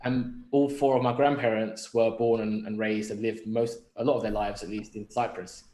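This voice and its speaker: A man's voice: lowish voice, level tone, normal speed, warm tone. A young man from London with a London accent, chatting casually and reflectively on a podcast, thinking aloud and posing questions to himself. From London, England. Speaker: podcast speaker. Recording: roomy echo on the voice.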